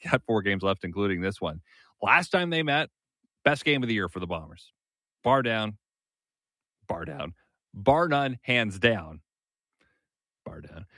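A man hosting a sports talk show, briskly setting up interview clips and commenting on games. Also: mid-range voice, fairly bright tone, no echo, studio quality. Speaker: sports radio host.

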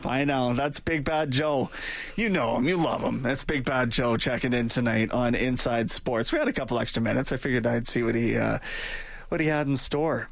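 Easygoing male sports radio host, relaxed rambling delivery, dry studio mic, mid pitch. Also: moderate pace, gravelly, dry recording. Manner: easygoing, chatty, rambling with fillers. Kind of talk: casual radio talk. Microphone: studio microphone.

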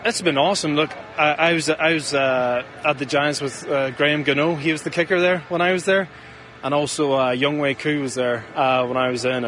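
Relaxed young British man, outdoors. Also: mid-range voice, noisy background. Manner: relaxed, laid-back, says "like" and "you know" a lot. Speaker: football kicker. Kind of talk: interview clip.

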